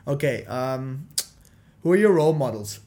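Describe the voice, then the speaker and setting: A man's voice: mid-range voice, animated, moderate pace, a little mellow. A man hosting a casual podcast interview, encouraging and chummy, calling his guest "bro" and "man".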